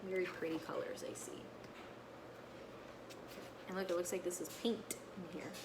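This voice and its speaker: A woman teacher talking casually to her young pupils and reading a picture book aloud, often checking in with a quick okay or right. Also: lowish voice, little pitch movement, noisy background. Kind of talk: casual read-aloud with explanations to children.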